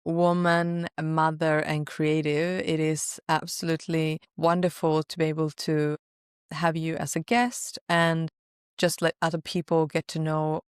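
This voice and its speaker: A Swedish woman hosting a podcast, reading a scripted intro and asking gentle questions. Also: low voice, flat delivery, measured pace, clear, resonant tone, dry recording, studio quality. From Sweden. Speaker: podcast host. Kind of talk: podcast interview.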